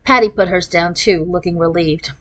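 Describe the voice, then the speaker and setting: A woman's voice: fairly low pitch, moderate pace, dull audio. A woman reading a children's novel aloud as a first-person narration. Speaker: storybook reader.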